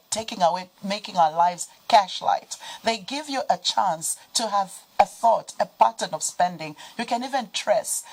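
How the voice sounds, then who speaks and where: A woman's voice: mid-range voice, a little mellow, rough recording. A woman with a Zambian accent speaking as an expert guest in a television interview, explaining things through everyday examples. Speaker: financial sector guest expert. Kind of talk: panel interview, explanatory talk. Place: TV studio.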